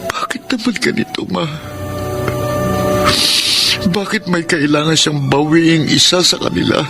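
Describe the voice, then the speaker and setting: A man's voice: fairly high pitch, a little slow, creaky. An actor playing an anguished, grieving husband and new father in a Filipino radio drama, with a Filipino accent. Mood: anguished, heartbroken. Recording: loud music under the voice.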